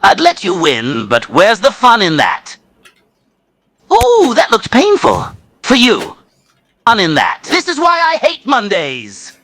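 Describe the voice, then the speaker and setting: A man's voice: high-pitched, wildly swooping pitch, slow, fairly bright tone, no echo, heavily acted. A man voicing a wisecracking, mocking joker-like villain in a video game, theatrical and teasing. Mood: gleeful mockery.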